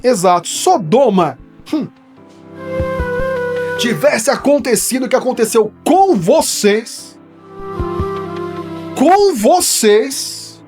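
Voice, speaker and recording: A man from São Paulo with a paulistano accent, explaining a Bible verse in a studio in a conversational teaching style that keeps checking in with his listeners. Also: high voice. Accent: São Paulo Portuguese. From São Paulo, Brazil. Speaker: preacher.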